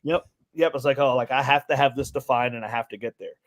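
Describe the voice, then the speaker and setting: A man speaks as a podcast co-host in a voice of middling pitch. His delivery is conversational and rambling, looping through what he said to people as he tells it. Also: quick pace. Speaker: podcast co-host, fitness coach. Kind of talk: casual conversational storytelling.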